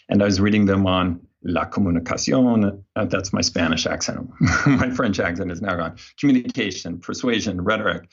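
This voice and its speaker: A man's voice: sits low, breathy edge, dull audio. A man speaking as an interview guest, telling his story in a casual, anecdotal way. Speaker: guest, behavior design researcher.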